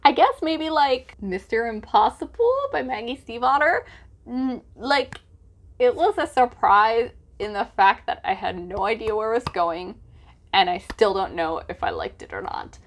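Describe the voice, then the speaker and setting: A woman's voice: a little high, sing-song, measured pace. Casual, chatty woman book vlogger. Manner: informal, hesitant with frequent "like" asides, gushing emphasis.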